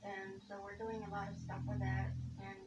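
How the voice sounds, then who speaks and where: A woman's voice: mid-range voice, flat delivery, moderate pace. A woman working as a community liaison, asking interview questions in an informal way. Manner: informal, leans on "basically" and "okay". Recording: roomy echo, distant mic, faint hiss.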